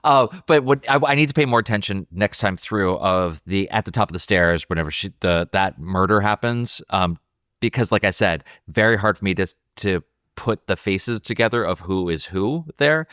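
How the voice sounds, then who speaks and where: A man's voice: deep voice, lively intonation, moderate pace, a bit bright, clear, resonant tone, no room sound, studio quality. A man co-hosting a podcast, discussing a film casually in a thoughtful, tentative, self-interrupting way. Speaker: podcast co-host.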